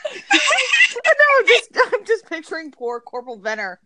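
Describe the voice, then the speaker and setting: A woman co-hosting a casual chat podcast who laughs loudly and often and talks with animated energy. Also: high-pitched, moderate pace, no echo. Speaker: podcast co-host.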